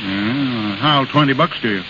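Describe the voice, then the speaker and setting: An actor playing a kindly, nervous carnival pal in a 1930s radio drama, somewhat hesitant. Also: male voice, medium pitch, measured pace, natural voice. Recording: hissy, muffled 1930s radio broadcast.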